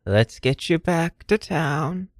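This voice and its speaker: A woman's voice: sits low, animated, slow, no room sound, full character voice. A woman playing an exasperated, practical frontier woman, weary and scolding, in improvised comedy.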